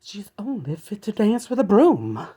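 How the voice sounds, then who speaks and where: A man's voice: high-pitched, huge pitch swings, measured pace, dark tone, breathy edge, pitched above the performer's own voice, heavily acted. A man voicing a mocking, spiteful young stepsister in a children's storybook.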